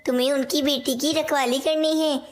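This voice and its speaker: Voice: high voice, fairly even intonation, moderate pace, natural voice. A performer voicing a talking bird in a children's cartoon tale, speaking in simple storybook dialogue. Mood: earnest.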